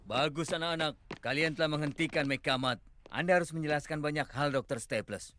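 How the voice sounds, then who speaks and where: A stern, authoritative cartoon official, acted by a man. His voice sits a little high for a man, with faint noise behind. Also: brisk, a bit bright, lightly acted. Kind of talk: animated cartoon dub acting.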